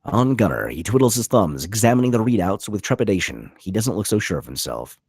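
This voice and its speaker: A man's voice: lowish voice, big pitch swings, a little fast, darkish tone, slightly rough, no room sound, clean studio sound. A man narrating stage directions at a script table read, with vivid, punchy dramatic delivery. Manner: vivid, punchy reading of action.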